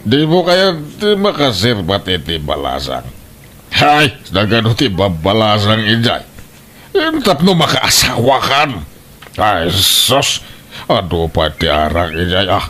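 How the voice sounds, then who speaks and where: A man's voice: fairly low pitch, very animated, takes it slowly, bright, forceful tone, exaggerated voice. A Filipino man playing a pushy, middle-aged, pleasure-loving uncle in a comedic radio drama, with a blustering, scoffing manner. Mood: teasing, coaxing. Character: pushy, carousing uncle who loves drink and fun. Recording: noisy radio drama track.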